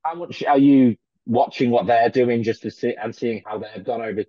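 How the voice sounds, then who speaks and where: A middle-aged man hosts over a video call at a medium pitch. He asks long, winding questions and leans on the word "obviously". The sound is dry and dull, like call audio. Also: normal speed, warm tone, no echo. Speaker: podcast host. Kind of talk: interviewing. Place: home room indoors.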